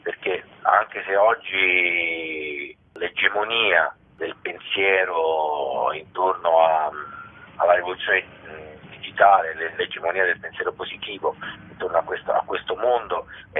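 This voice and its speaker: A man answering interview questions as a documentary director, explaining in a halting way, searching for words. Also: deep voice, husky. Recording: thin, telephone-like radio line.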